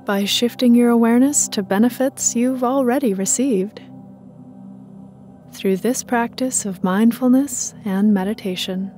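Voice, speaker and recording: Female meditation narrator, unhurried and soothing, soft dark tone, faint music underneath. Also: fairly high pitch. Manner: calm, soothing, measured. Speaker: meditation guide.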